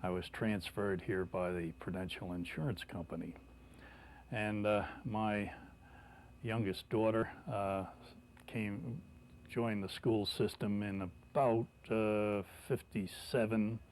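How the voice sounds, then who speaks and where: An older man being interviewed, recalling past events in a halting, deliberate way with frequent hesitations. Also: on the low side, fairly even intonation, a little mellow, a little hiss or hum. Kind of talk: recollection in an interview.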